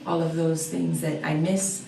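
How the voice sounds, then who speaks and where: A woman's voice: low-pitched, flat delivery, a little slow, vocal fry, noisy background. A woman from Hawaii who teaches dance, answering interview questions in a friendly, easygoing way with frequent fillers. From Oahu, Hawaii. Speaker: dance instructor, guest. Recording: echoey room, mic at a distance.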